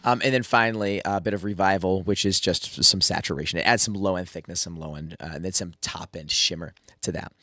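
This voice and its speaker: A man's voice: low-pitched, a little fast, few pauses, pushed and bright. A man who is sick and losing his voice, casually walking through a drum mixing tutorial with lots of fillers and restarts. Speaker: music producer teaching drum mixing. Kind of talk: screen-share mixing tutorial.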